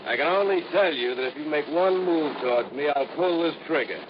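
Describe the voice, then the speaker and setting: Man acting as cold gloating gunman, threatening, voice a bit high, muffled old radio show. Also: animated, a little fast, noisy background, lightly acted. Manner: threatening, gloating, clipped commands. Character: cold, menacing gunman, a gloating scheming murderer. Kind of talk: 1950s radio drama acting.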